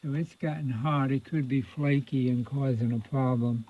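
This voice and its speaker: A man giving an informal, folksy repair demonstration, thinking aloud in a halting mutter as he works. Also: mid-range voice, steady tone. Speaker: radio repair hobbyist presenting own repair video. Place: indoors at a repair workbench. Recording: muffled phone-like audio, lo-fi.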